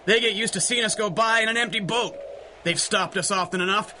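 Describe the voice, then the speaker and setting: High, barking gangster boss. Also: male voice, fairly quick, bright, forceful tone, a little hiss or hum. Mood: smug. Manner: barking, impatient, slangy tough talk. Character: gruff, bossy 1930s rum-running gang boss. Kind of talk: 1930s-style radio drama acting.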